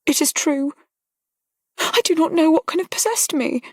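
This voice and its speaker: A woman's voice: high voice, lively intonation, unhurried, no echo, clean studio sound, pitched above the performer's own voice. A woman reading an audiobook, voicing a fragile young noblewoman who talks in a breathless, tremulous, pleading way. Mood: distressed, tearful. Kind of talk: audiobook character voice.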